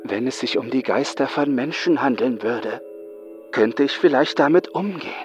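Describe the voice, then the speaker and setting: A man's voice: medium pitch, expressive, moderate pace, soft background music, voice pushed up, close to an everyday voice. Man acting a haunted, frightened young psychiatric patient. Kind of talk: horror audiobook acting.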